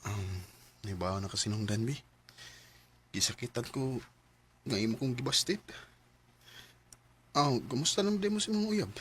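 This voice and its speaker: A man narrates and plays the lead in a homemade radio drama, sounding heartbroken. His voice is bright and swings widely in pitch. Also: lively intonation, a little slow, pauses often, slightly rough. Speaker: storyteller and lead player.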